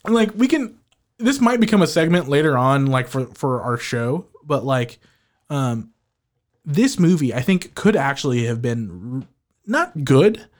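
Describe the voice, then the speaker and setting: A man co-hosting a podcast, chatting casually about a movie, animated and often restarting his sentences with a laugh. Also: mid-range voice, measured pace. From Filipino heritage, living in the United States. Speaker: podcast co-host. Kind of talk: casual movie review chat.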